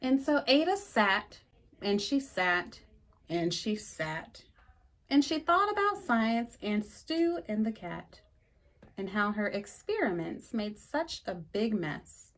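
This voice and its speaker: A woman reading a rhyming picture book aloud for storytime, with a lively sing-song delivery and slow, emphatic pauses. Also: mid-range voice. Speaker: storytime reader.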